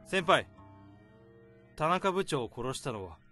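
A man as a calm, methodical office sleuth. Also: on the high side, takes it slowly, music in the background. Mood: accusing. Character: overworked male office worker turned sleuth. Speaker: office worker. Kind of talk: radio drama acting.

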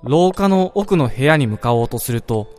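A man playing a wistful dreamer in a radio drama, telling of his own dream in a measured, reflective first-person narration. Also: medium pitch, expressive, darkish tone, close to an everyday voice. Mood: reflective, calm. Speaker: narrator-protagonist. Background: background music.

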